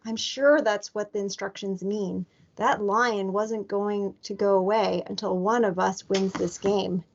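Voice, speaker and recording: Woman reading as bossy young storybook sister, slow-spoken. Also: medium pitch, fairly even intonation, vocal fry, slight background noise. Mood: earnest, insistent. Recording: dull lo-fi recording.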